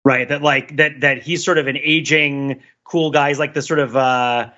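A man with a very bright, forceful voice at an average pitch, talking casually as a podcast co-host. He rambles as he explains, groping for the right word and checking in often. The recording is a little dull and lo-fi. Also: normal speed. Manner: rambling, searching for words, animated storytelling with frequent check-ins. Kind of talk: casual pop culture discussion.

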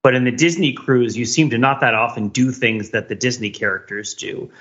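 A man with a bright, punchy voice banters on a podcast and teases his friends with questions. The audio is a little dull and lo-fi. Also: medium pitch, fairly even intonation, brisk. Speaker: podcast regular. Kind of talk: casual banter.

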